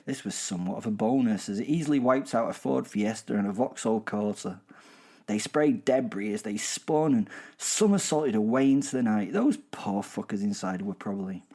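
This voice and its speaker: A man's voice: lowish voice, normal speed, dark tone, husky. A man with a British accent voicing a gleeful, boastful werewolf killer, telling his story in a chatty, rambling way with darkly humorous asides. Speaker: narrator and host of a horror audio serial. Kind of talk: chatty first-person dramatic storytelling.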